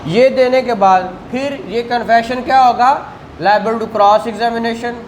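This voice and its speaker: A Pakistani man teaches law at a slow, deliberate pace, in a didactic style that checks in with questions and repeats key phrases. His voice echoes in the classroom, with clear noise behind it. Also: very high voice. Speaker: law lecturer. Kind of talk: classroom lecture. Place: indoor classroom.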